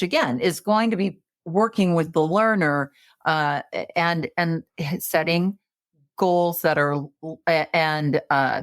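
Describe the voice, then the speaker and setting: A woman's voice: low voice, no echo, clean studio sound. A woman in her late fifties, a podcast guest giving coaching advice in a conversational way, full of fillers and restarts. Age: 50s. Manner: animated, many fillers and restarts.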